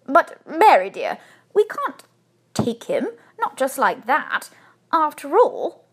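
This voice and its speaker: Storybook husband, played by a female reader: doubtful, fussy, stop-start, slightly husky and forceful. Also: on the high side, sing-song, a little slow, exaggerated voice. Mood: hesitant, doubtful. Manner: hesitant, doubtful. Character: adult man, hesitant, fussy, doubtful husband. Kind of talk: children's storybook reading.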